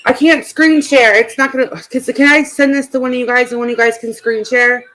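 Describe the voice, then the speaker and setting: A woman's voice: sits high, fairly even intonation, brisk, a bit bright, rough recording. A woman hosting a live stream, chatting casually in a blunt, sarcastic way. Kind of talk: casual reaction chat.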